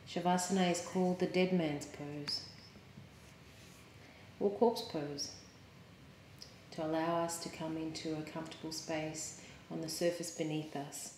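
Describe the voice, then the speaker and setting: A woman yoga teacher leading a guided meditation in a calm, soothing, measured way with pauses. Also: low-pitched, a little hiss or hum. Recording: roomy, echoing sound.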